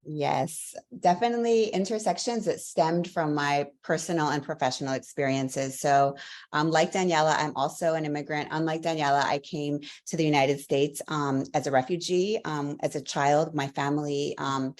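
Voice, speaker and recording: Woman guest, low voice, earnest storyteller, podcast interview. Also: steady tone, normal speed, a little mellow. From Latvia. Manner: earnest, fluent storytelling with fillers. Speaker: guest, professor.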